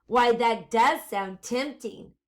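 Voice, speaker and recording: A woman's voice: fairly high pitch, slow. A woman voicing a skinny, starving male wolf from a storybook, sly and courteous with an ingratiating, folksy manner. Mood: tempted, polite.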